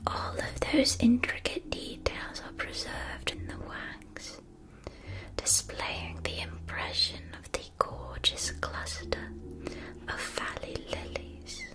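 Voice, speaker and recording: A woman's voice: high-pitched, huge pitch swings, heavily acted, rough recording. A woman playing a genteel, doting stationery shopkeeper at a witch school in an ASMR roleplay, with a dainty, fussy manner. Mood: gentle, attentive. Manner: slow, dainty, fussy, repeats words softly. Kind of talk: whispered ASMR shop roleplay.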